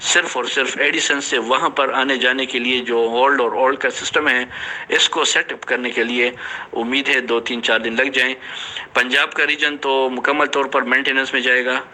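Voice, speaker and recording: A man's voice: mid-range voice, steady tone, normal speed, sharp tone, low-quality recording. A man giving an informal voice-message announcement to his team, explaining things and repeating phrases for emphasis. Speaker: team leader of an online investment scheme.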